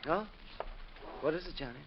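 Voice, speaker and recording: A male actor plays a fussy, eccentric little collector in a vintage radio mystery. His voice is fairly high and very animated, fluttering through repeated phrases. The old recording is dull with faint noise. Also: moderate pace, natural voice. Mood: meek, sly. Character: fussy, eager, dotty male eccentric collector, slyly meek. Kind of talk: 1950s radio detective drama acting.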